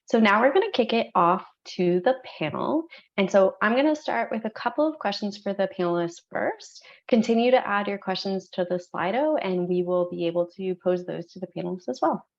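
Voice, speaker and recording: A woman's voice: medium pitch, animated, a little fast. A woman moderating a webinar panel, thanking speakers and moving things along in an upbeat way. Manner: warm, upbeat thanks and transitions. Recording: dull lo-fi webinar audio.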